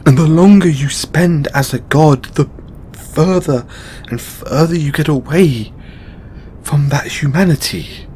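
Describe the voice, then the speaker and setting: A man playing a weary, burdened god in an intimate audio roleplay, speaking haltingly with sighs and long pauses. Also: on the high side, a little mellow, slight background noise, lightly acted. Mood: regretful, confessing. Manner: halting, sighing, with drawn-out pauses. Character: weary, burdened male god confiding in his lover. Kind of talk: audio roleplay monologue, intimate dramatic acting.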